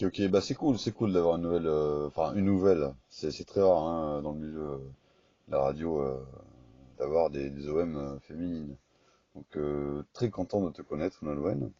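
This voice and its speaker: A man speaking informally and haltingly as an amateur radio operator, in a casual chat over the air. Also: very deep voice, mellow. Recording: dull, echoey two-way radio recording.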